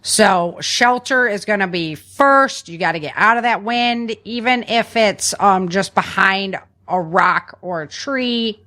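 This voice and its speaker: A woman's voice: medium pitch, slow pace, hardly any pauses, pushed and bright. A woman hosting a podcast, talking informally and instructively through survival tips from notes and often checking in with a "right?". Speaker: podcast host. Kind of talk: conversational survival advice from notes.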